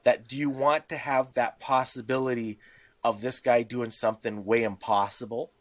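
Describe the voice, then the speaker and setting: A man's voice: mid-range voice, fairly even intonation, moderate pace. A man in casual podcast discussion about tabletop games, explaining things with examples and little acted-out asides. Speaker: podcast co-host.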